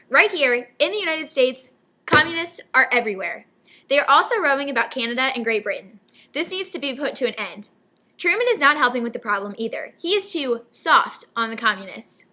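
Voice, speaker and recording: A woman's voice: sits high, sharp tone. A young woman anchoring a scripted newscast, reading out stories as narration. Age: young adult. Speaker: news anchor.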